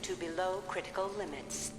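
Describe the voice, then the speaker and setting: A performer voicing an automated computer alarm that announces danger in an animated series. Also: medium pitch, normal speed, lightly acted. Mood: flat warning. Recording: echoey, loud music behind.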